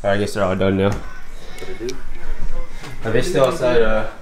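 A man's voice: lowish voice, steady tone, a little slow, crowd noise. A young man vlogging casually in a house with friends, talking into a handheld camera in a laid-back, worn-out way. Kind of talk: casual vlog narration. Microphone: handheld camera with mounted mic.

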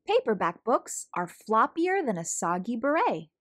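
A woman reading a children's picture book aloud as a storytelling host, in an expressive manner for young listeners. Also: fairly high pitch, unhurried. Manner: animated, clearly paced storytelling for young children.

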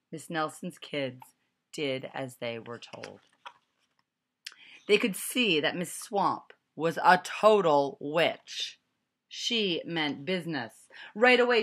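A woman's voice: lowish voice, a bit bright. A middle-aged woman reading a picture book aloud to children at home, recorded on a webcam. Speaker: storyteller. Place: small furnished room at home. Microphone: webcam at arm's length.

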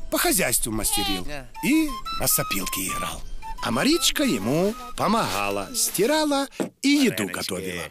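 Very animated Ukrainian-accented male storyteller. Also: a little high, normal speed, music in the background. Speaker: narrator. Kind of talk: folksy fairy-tale narration.

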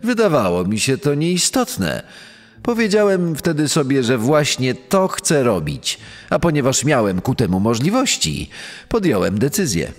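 A man playing a reflective, coldly self-assured con man in a deliberate, theatrical true-crime monologue. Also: medium pitch, big pitch swings. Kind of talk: dramatised first-person true-crime monologue. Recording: long reverb over background music.

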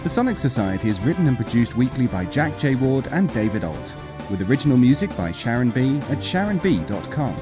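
A man reading a scripted closing announcement for a podcast in a lively announcer manner. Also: mid-range voice, brisk. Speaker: show announcer. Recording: announcement over clear music.

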